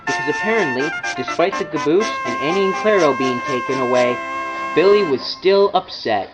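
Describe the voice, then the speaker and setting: A man's voice: fairly high pitch, animated, fairly quick, over loud music, rough recording. A man reading scripted children's story narration as the narrator.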